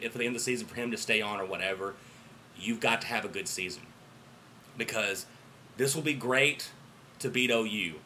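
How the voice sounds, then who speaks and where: Hoarse, sharp-voiced American male sports fan. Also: mid-range voice. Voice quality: hoarse from yelling. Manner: emphatic, repeats himself, swings between excited bursts and quieter asides. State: hoarse voice after yelling through a game. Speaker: football fan vlogger and alum of the team he supports. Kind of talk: impassioned sports fan commentary to camera.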